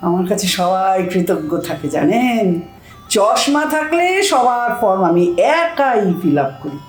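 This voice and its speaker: Woman playing a bossy, animated older housewife. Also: medium pitch, a little slow, echoey room, faint music behind. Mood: boastful. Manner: scolding, wheedling, self-important chatter. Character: bossy, boastful, illiterate older housewife at a bank. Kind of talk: comic audio drama acting.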